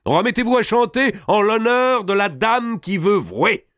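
A man's voice: a little high, animated, a little slow, dry recording, studio quality, pitched above the performer's own voice. A man voicing a fervent, triumphant nobleman who orates in a solemn, courtly, declamatory way in an audiobook story. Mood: courtly, urging. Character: fervent, triumphant nobleman orating grandly at a feast.

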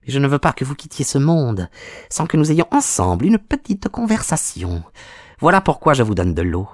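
A man's voice: medium pitch, very animated, normal speed, no room sound, studio quality, pitched above the performer's own voice. A man voicing a cruel, gloating villain in an audiobook, with a mocking, mock-polite way of talking. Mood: cold, taunting. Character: sneering, gloating villain, a cruel male visitor. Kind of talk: audiobook character acting.

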